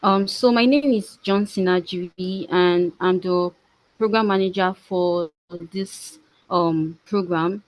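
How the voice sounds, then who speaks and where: A woman hosting an online training as program manager, introducing the session in halting short phrases. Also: lowish voice, no room sound, low-quality recording. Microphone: video call.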